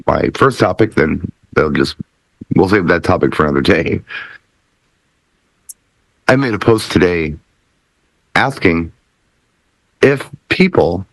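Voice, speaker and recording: Male host for a casual DJ panel show; very deep voice, dry recording. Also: dry recording, rough recording.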